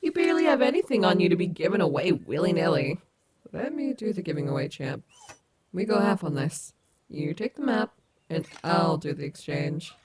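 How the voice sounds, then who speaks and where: Woman playing a low-voiced, cocky animatronic wolf woman. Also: sing-song, moderate pace, darkish tone, slightly rough. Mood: fond, bossy. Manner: teasing, affectionate, snarky. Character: cocky, caring female animatronic wolf rock star. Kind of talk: ASMR audio roleplay.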